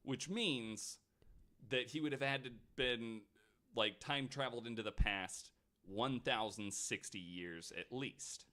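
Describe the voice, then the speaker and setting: A man's voice: medium pitch, unhurried, bright, forceful tone. A man hosting a podcast, telling a story in casual chat, reading quotes aloud with lots of fillers. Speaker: podcast host.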